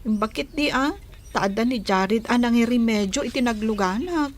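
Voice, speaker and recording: A woman's voice: on the high side, normal speed, lightly acted. A woman with a Filipino Ilocano accent playing a sulky young daughter resisting an arranged marriage in a radio drama. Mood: resigned. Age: young adult. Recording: clear background noise.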